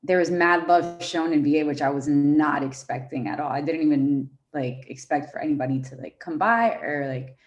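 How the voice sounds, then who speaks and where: A young woman from Venezuela, a fashion brand founder, talking as a podcast guest in a relaxed way with many fillers. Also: low-pitched, normal speed, hardly any pauses, muffled recording. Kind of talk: casual interview chat.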